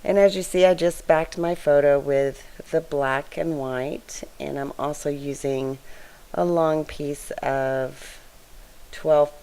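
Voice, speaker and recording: A woman with a weak, raspy voice that sits low and mellow. She speaks slowly and softly, as if she has to strain to be heard. She chats casually over her crafting, like a host talking to her regular viewers. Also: fairly even intonation, low-quality recording. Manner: soft-spoken, chatty, straining to be heard. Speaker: scrapbooking channel host.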